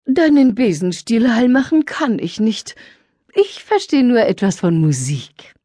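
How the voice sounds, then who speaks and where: A woman playing a friendly, proud music witch in a children's audiobook, explaining things in an unhurried, teacherly way. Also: on the high side, sing-song, warm tone, breathy edge, no echo, studio quality, full character voice. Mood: matter-of-fact.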